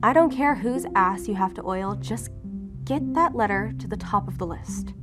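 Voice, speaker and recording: A woman playing a guarded, determined federal investigator in an audio drama, with a dry, measured, probing manner. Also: medium pitch, expressive, over loud music, natural voice. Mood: curt, stern.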